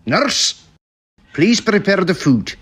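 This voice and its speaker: A man's voice: sits high, sing-song, takes it slowly, a bit bright, a little hiss or hum, heavily acted. A man playing a falsely soothing, insistent asylum doctor in old-style radio melodrama, with a condescending theatrical manner. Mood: patronising, firm.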